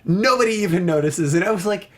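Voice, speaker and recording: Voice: mid-range voice, lively intonation, fast. A talk show host in a casual review discussion, speaking with gushing enthusiasm and often rambling off mid-thought.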